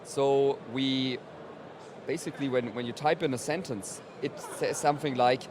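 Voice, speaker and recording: A man's voice: mid-range voice, steady tone, moderate pace. A man being interviewed as a company representative, explaining his product conversationally at a trade event. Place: busy exhibition hall. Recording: loud trade show floor noise.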